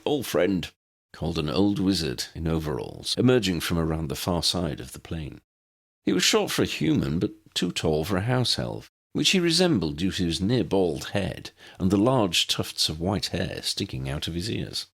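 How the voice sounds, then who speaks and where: Deep, creaky male storyteller. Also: big pitch swings, moderate pace. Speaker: audiobook narrator. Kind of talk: scripted fiction narration with character voices.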